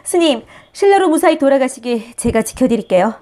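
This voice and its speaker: A woman's voice: high voice, animated, a little fast, mellow. A woman voicing a devoted, shy young maiden in a storybook, polite and earnestly pleading. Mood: urgent, devoted.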